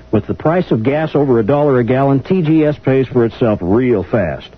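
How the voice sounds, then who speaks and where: A man's voice: mid-range voice, slightly rough. A man who works as an auctioneer, giving a punchy, salesman-like testimonial in a radio ad. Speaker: auctioneer pitching a product. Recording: dull AM radio sound.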